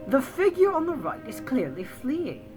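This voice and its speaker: A woman's voice: sits high, very animated, a little slow, pitched above the performer's own voice. Visual novel role: pompous fifties male art critic, quarrelsome and condescending, read by a woman, over prominent music. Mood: argumentative, pompous. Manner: pompous, argumentative.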